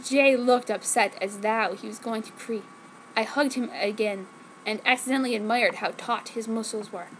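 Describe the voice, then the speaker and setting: A woman giving a theatrical, mock-dramatic comedic reading as narrator, deliberately overwrought. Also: fairly high pitch, slight background noise, poor audio. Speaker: narrator reading fan fiction aloud.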